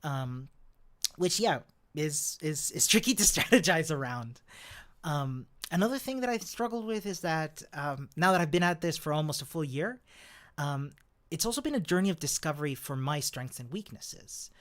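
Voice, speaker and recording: A man's voice: fairly high pitch, animated, moderate pace, fairly bright tone. Upbeat Chilean-born man chatting with laughs. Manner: upbeat, chatty, laughs often, many fillers. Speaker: developer advocate. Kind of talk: casual talk to camera.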